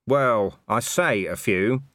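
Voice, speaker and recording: A man's voice: mid-range voice, slow, fairly bright tone, dry recording. A man voicing a scruffy, cheeky corporal who speaks with cocky, offhand smugness. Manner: cocky, offhand, self-satisfied. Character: scruffy, cheeky male corporal, smug union agitator. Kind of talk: audiobook character voice.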